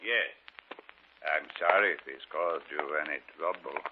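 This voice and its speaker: A slow, eerie stranger. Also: male voice, a bass voice. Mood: polite. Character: eerie soft-spoken male stranger with a dog. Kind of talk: 1940s radio acting. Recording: tinny old radio broadcast with hiss.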